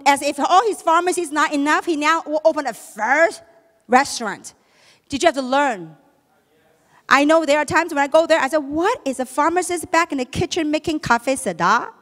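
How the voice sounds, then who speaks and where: A woman's voice: sits high, a bit bright. A woman with a Chinese accent gives a motivational business talk to an audience, often asking questions and then answering them. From China. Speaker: business speaker. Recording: echoey hall.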